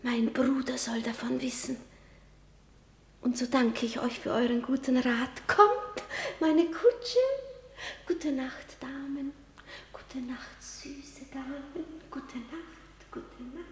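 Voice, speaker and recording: An actress in a German classical radio drama playing a fragile, childlike young maiden driven mad by grief, drifting between song, laughter, tears and whispers. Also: female voice, high-pitched, slow pace, slight background noise. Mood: distracted, fading. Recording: echoey hall with long reverb.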